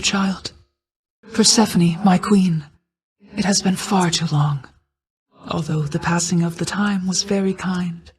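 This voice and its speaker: Woman doing a regal ancient night goddess for a video game, solemn guarded mom, very formal n measured, stately. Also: fairly low pitch, a little mellow, close to an everyday voice. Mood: formal, reverent. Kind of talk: scripted game character dialogue.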